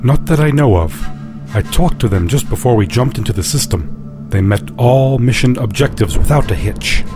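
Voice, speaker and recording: A man's voice: medium pitch, expressive, normal speed, lightly acted. A man acting a plain-spoken, questioning spaceship crewman in a science fiction audio drama. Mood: uneasy, practical. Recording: music clearly under the dialogue.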